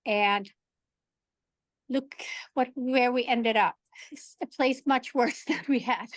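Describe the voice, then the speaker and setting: A woman calls in to a video call and asks worried, searching questions. Her voice sits fairly high for a woman. The audio is dry and close. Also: slow pace, dry recording. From Sweden. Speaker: caller.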